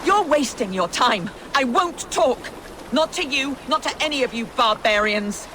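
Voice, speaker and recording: A woman's voice: high voice, lively intonation, measured pace, background noise. An actress playing a defiant, indignant captive noblewoman in a video game, imperious and scornful. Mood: scornful defiance. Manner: imperious, sharp commands and scorn. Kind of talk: dramatic video game cutscene acting. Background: loud music.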